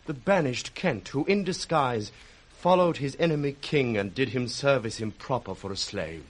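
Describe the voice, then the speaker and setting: An earnest young British nobleman, played by a man, with a mid-pitched, very lively voice. He declaims verse in classic radio drama style. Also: moderate pace, natural voice. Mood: sorrowful. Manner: declamatory, animated. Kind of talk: 1960s British radio Shakespeare acting.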